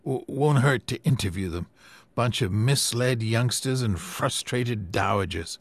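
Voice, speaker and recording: A man voicing a gruff, cynical male newspaperman in a storybook reading. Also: mid-range voice, unhurried, no echo. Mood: dismissive.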